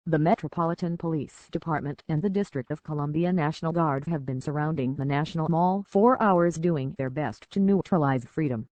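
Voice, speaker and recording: A woman reading a scripted news report as an anchor, in a formal, measured newsreader delivery. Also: low voice, darkish tone, dry recording. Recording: dull, lo-fi, dry audio.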